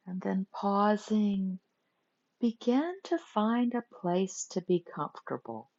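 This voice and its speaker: A woman teaching guided meditation, giving gentle instructions in short phrases with pauses between them. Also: medium pitch. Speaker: yoga nidra meditation teacher. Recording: muffled lo-fi recording.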